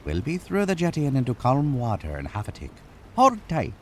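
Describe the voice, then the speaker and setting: An actor playing a brisk, deferential boatman in a radio mystery drama. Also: medium pitch, huge pitch swings. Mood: confident, hearty. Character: brisk, deferential boatman steering through rough surf. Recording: noise behind, vintage radio recreation.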